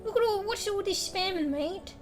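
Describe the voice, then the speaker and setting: A young woman streamer, a little raspy today, chatting casually at home into a desk mic, rambling, laughing a lot and swearing now and then. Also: very high voice, normal speed. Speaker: game streamer. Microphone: desk microphone with fan blowing on it. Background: soft background music.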